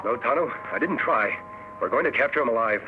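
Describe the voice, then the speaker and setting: An actor playing a steadfast, commanding western hero in an old radio drama, speaking with earnest, deliberate authority. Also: male voice, mid-range voice, expressive, loud music behind the voice, close to an everyday voice. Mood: commanding, urgent. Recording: noisy old radio recording.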